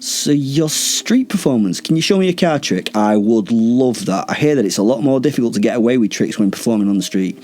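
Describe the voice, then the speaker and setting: A man's voice: on the low side, big pitch swings, fairly quick, few pauses, a little mellow, breathy edge. A man voicing a cocky, flippant male werewolf in a story, sarcastic and full of exaggerated mock awe. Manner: sarcastic, mock-awed, sweary.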